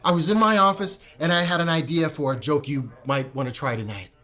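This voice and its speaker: An actor playing an easygoing, flattering network executive in an audio drama. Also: male voice, on the high side, brisk. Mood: breezy. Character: smooth male network executive, breezy.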